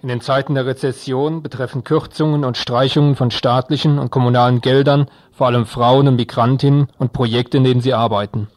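A man's voice: medium pitch, fairly even intonation, a little fast, few pauses, a little mellow, soft background music. A man presenting radio news, reading a scripted introduction to a report.

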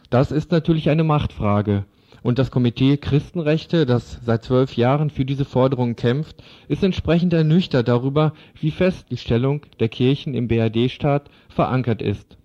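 Male radio reporter, soft dark tone at middle pitch, reading prepared commentary. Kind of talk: scripted political radio commentary. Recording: dull lo-fi radio recording.

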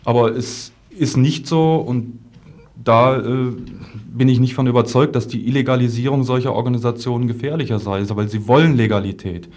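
A man argues a political case as a discussion guest. His pitch is average, and his phrasing is formal and long-winded, with hesitant stops as he builds each argument. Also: moderate pace, a little mellow. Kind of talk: political debate, argumentative talk.

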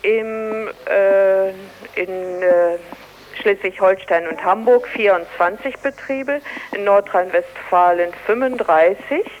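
A woman giving a halting telephone interview as a union spokesperson, heard over a muffled line. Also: mid-range voice, slight background noise. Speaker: union strike office spokesperson.

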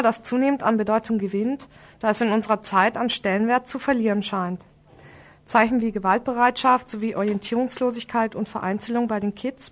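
A woman radio presenter reading a scripted report and introducing an interview. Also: fairly high pitch.

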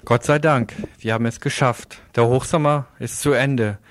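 A man's voice: medium pitch, fairly even intonation, moderate pace, noisy background. A man presenting a scripted radio news commentary in a sardonic manner, putting rhetorical questions to listeners.

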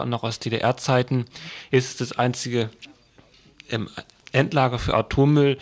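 A man co-hosting a radio news programme, reading short reports in a halting, hesitant way. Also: mid-range voice, no echo.

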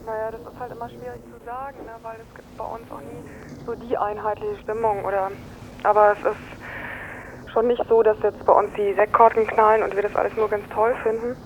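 A woman's voice: on the high side, little pitch movement, normal speed. A woman being interviewed as a resident, answering informally and hesitantly, deliberating as she goes. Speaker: interviewed resident of a housing project. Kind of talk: spontaneous phone interview. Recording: muffled noisy telephone line.

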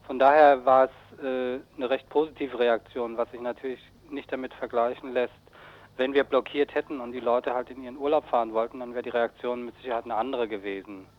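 A man's voice: medium pitch, fairly even intonation, fairly quick. A man being interviewed on the radio as an activist, explaining things informally in a matter-of-fact way. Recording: slightly dull radio recording.

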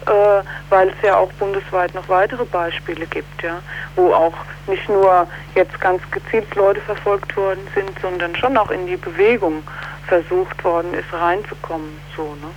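A woman giving a spontaneous political interview as an activist, speaking haltingly and often ending on question tags. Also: lowish voice, steady tone, lo-fi sound, slight background noise.

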